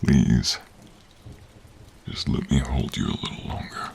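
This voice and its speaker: A man's voice: unhurried, a little hiss or hum, full character voice. A man voicing a protective, tender dragon in human form, speaking earnestly and with feeling in an audio role-play. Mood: tender, weary. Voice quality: deep, husky, breathy. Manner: earnest, emotional, caring.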